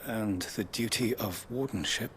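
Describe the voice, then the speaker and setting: An actor playing a stiff, formal knight of a sworn order in a fantasy game, speaking archaic lines with ceremonious deference. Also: male voice, lowish voice, measured pace, fairly bright tone, a little hiss or hum. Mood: formal, reserved.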